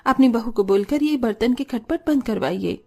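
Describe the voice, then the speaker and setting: A woman's voice: a little high, animated, normal speed, gravelly, a little mellow, faint music behind. A woman voicing an annoyed, dramatically complaining neighbour in a cartoon family drama. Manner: indignant, dramatic.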